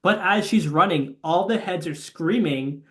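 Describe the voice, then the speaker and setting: Young man recapping a movie excitedly. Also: high-pitched, measured pace. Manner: excited, swears a lot, recaps the plot. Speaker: podcast co-host. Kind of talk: casual movie chat.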